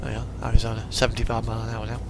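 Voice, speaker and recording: A man's voice: fairly low pitch, monotone, rapid-fire, vocal fry, background noise. A man giving casual, rambling commentary over a driving game, musing and thinking aloud as he goes. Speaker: video game streamer narrating a truck simulator drive. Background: music and vehicle engine sound.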